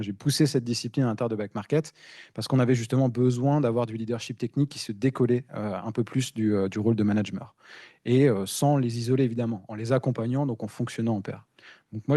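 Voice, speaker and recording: A man's voice: mid-range voice, mellow, no echo, studio quality. A man from giving an informal, explanatory tech conference talk, often repeating words as he searches for phrasing. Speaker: principal engineer speaking on a panel.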